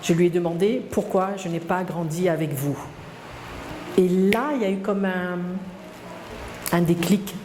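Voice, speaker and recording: A middle-aged woman, speaking hesitantly and reflectively as an interviewee, with many pauses and restarts. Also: on the low side, a little mellow, slight background noise. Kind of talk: personal testimony interview. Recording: echoey room, long reverb, faint ambience.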